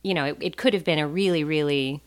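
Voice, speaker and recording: An American woman telling stories casually as a podcast guest, animated and joking, with plenty of "like" in her talk. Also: deep voice, quick pace. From born in Taiwan, raised in a US Navy family. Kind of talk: casual conversational storytelling.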